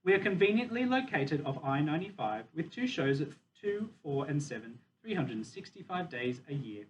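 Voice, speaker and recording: A man's voice: on the high side, very animated. Man reading as a proud gorilla, distant dull recording. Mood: matter-of-fact. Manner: measured, deliberate storybook telling. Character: proud, wistful, dignified captive male silverback gorilla. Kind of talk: children's novel read aloud in first person. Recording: dull, distant mic with faint noise.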